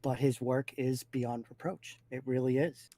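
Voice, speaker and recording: Male talker, mid pitch, close dry recording, blunt opinions delivered point by point with emphasis. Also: fairly even intonation, a little slow, warm tone, no room sound. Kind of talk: casual opinionated discussion.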